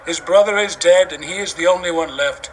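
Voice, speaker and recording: A man's voice: a little high, a little fast, slight background noise, natural voice, rough recording. An actor playing a grieving, protective old father in an audio Bible drama, mournful and emphatic. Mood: fearful refusal. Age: older.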